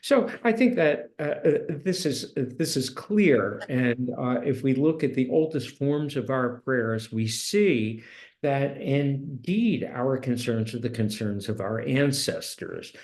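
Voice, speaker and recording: A man in his seventies teaching a course, talking in a halting way with many restarts and fillers, and reading passages from a book aloud. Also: mid-range voice, expressive, darkish tone. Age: 70s. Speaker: course instructor. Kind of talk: lecture with read-aloud passages.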